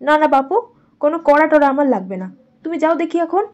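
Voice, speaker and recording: A woman's voice: high voice, expressive, quick pace, a little mellow. A woman playing a worried, loving village mother of young children in a dramatized doll story. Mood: exasperated. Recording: muffled audio with faint music.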